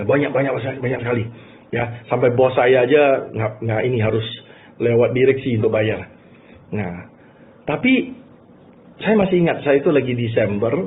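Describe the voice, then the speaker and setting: Male presenter from Malaysia, mid-pitched, conversational storyteller who checks in with listeners. Thin, phone-like audio. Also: a little hiss or hum. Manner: conversational, checks in with listeners using tag questions. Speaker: business presenter. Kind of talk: informal motivational talk telling a personal story. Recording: muffled phone-like call audio.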